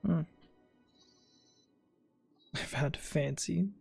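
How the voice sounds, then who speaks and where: Very creaky-voiced woman streaming a game. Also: low-pitched, extremely slow, darkish tone. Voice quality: heavily creaky, gravelly voice. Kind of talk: casual gameplay chat, muttered asides. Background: video game music.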